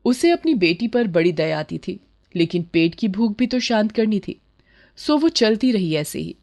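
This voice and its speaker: A woman storyteller reads a scripted moral tale. Her voice sits at an ordinary middle pitch, in the manner of bedtime story narration. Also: very animated, moderate pace, a little mellow.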